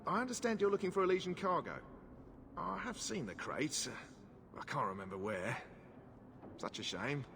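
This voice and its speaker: An actor playing a falsely polite, bribe-seeking harbour assistant in a video game. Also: male voice, a little high, expressive, normal speed, creaky, pauses often. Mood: smug, coy. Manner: mock-apologetic, falsely polite. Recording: reverberant, noisy outdoor ambience.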